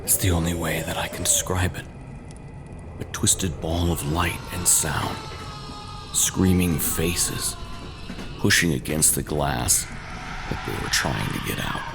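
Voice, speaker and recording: A man narrating a scripted first-person horror tale in short, halting phrases with an ominous, dramatic manner. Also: deep voice, music in the background.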